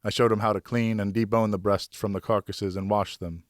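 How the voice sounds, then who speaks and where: Male audiobook narrator, low register, dry sound, scripted first-person storytelling. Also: level tone, brisk, no room sound.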